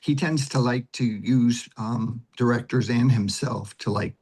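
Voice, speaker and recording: A man with a husky voice giving conversational interview answers, explaining things step by step. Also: medium pitch, fairly even intonation, unhurried, darkish tone. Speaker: guest, theater director and producer.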